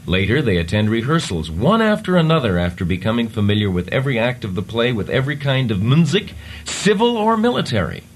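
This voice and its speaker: A man on a radio comedy show reading a stilted text aloud in a deadpan, mock-formal way, chuckling now and then. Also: medium pitch, fairly quick, hardly any pauses.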